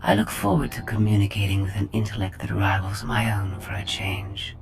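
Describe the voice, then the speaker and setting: An actress playing a calculating female droid in a video game, speaking in a measured, formal, superior manner. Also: a bass voice, animated, creaky, noisy background, heavily acted. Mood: proud, detached. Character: cold, calculating female droid, proud of her intellect. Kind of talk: scripted video game acting.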